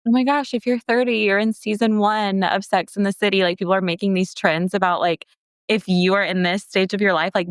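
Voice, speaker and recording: A woman's voice: mid-range voice, clear, resonant tone, no room sound. A woman with an American accent co-hosting a casual chat, very informal and often saying "like". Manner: informal, frequent "like". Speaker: podcast co-host.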